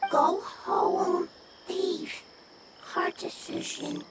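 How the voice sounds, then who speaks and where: A woman voicing a small, worried spirit creature in a video game, speaking in halting, broken phrases. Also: extremely high pitch, fairly even intonation, very noisy, heavily acted. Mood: anxious, earnest. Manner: halting, fragmented short phrases. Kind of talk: video game character acting.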